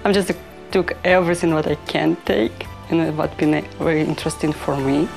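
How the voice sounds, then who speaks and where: Ukrainian-accented woman, low creaky voice. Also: steady tone, a little mellow, soft background music. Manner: halting non-native English with many "like" fillers. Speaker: fashion designer. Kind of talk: interview talk.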